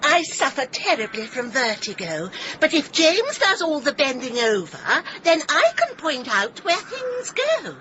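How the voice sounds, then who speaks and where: A woman's voice: high voice, big pitch swings, unhurried, hardly any pauses, sharp tone, lo-fi sound, heavily acted. An actress playing a simpering, flirtatious and snobbish village woman in a radio drama, with affected gushing. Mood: coquettish. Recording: noisy radio drama recording.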